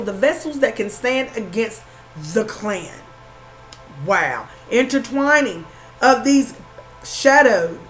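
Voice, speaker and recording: A woman's voice: high-pitched, low-quality recording. A woman with a Southern accent doing an informal tarot reading, repeating herself and calling the listener honey. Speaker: spiritual reader.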